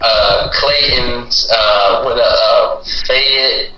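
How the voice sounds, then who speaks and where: A man with a bright, sharp voice jokes and teases on a stream call. His mic is far off and dull-sounding in an echoey room with faint noise. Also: medium pitch, drawn-out words. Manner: teasing, joking. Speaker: real estate investor. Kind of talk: casual chat.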